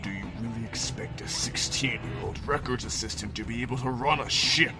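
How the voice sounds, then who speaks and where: A man's voice: mid-range voice, fairly quick, gravelly, natural voice. A man playing an arrogant, contemptuous, hot-tempered military officer in a sci-fi anime-style drama. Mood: dismissive. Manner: contemptuous, swearing, commanding. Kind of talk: sci-fi anime parody audio drama acting. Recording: loud music over the voice.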